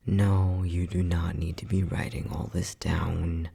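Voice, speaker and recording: A man's voice: deep voice, level tone, slow pace, vocal fry, darkish tone, breathy edge, full character voice. A man playing an unhinged, bossy scientist who teases and condescends in a sardonic ASMR roleplay. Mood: mocking, theatrical. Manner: sardonic, teasing, condescending, with frequent sighs.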